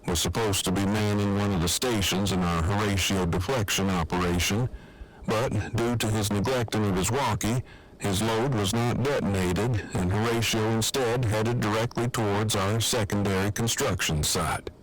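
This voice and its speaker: Formal, verbose male survivor on a walkie-talkie, low-pitched, earnest, precise male actor, audio drama. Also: normal speed, poor audio. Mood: grave, matter-of-fact. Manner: stiff, verbose, precise diction. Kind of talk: fan audio drama acting.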